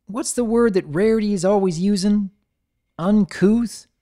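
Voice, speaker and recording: A man's voice: high-pitched, a little slow, mellow, voice pushed up. A man voicing an honest, hardworking country pony mare with a Southern drawl and folksy, earnest manner.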